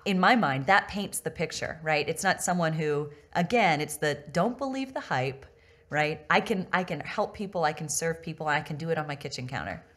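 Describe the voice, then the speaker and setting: A woman's voice: low-pitched, expressive, moderate pace, fairly bright tone. A woman hosting a conversational interview, speaking in an effusive, affirming way to her guest. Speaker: interview host.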